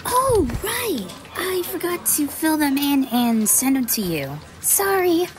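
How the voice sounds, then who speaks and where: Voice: high voice, very animated, slow, slight background noise, pitched above the performer's own voice, heavily acted. A performer voicing an eager, apologetic young female police assistant in an audio roleplay.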